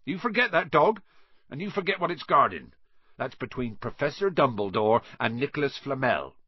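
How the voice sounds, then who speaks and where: A man voicing a big, gruff older groundskeeper in an audiobook, rustic in speech, defensive and hot-tempered. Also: a little high, huge pitch swings, normal speed, no echo, lo-fi sound, pitched above the performer's own voice, full character voice. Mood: heated, insistent. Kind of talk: audiobook character voice.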